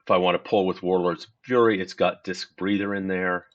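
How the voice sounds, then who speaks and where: A man with a fairly low voice explains his setup for an online game at an unhurried pace. He often stops to correct himself mid-sentence. The recording sounds dull and lo-fi, as if made on a basic desktop mic. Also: steady tone. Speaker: gaming tutorial host. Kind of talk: casual screen-recorded game walkthrough.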